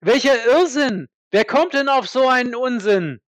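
A man's voice: very high voice, lively intonation, no room sound. A man playing a proud, defiant accused war veteran in an audio drama, indignant and emphatic. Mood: outraged. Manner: indignant, emphatic, halting when pressed. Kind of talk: dramatic audio play acting.

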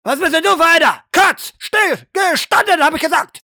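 A man's voice: very high voice, moderate pace, creaky, bright, forceful tone, no room sound, studio quality, pitched above the performer's own voice, exaggerated voice. A man playing a brutal, foul-mouthed military drill sergeant who barks contemptuous insults and commands in an improvised audio drama. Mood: barking, furious.

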